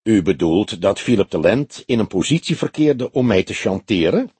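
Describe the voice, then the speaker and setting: A man's voice: lowish voice, very animated, moderate pace, mellow, husky, no echo, heavily acted. A man voicing a stout, wealthy crime suspect in his late forties in an audiobook, polite and self-assured. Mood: self-assured, defensive. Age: 40s.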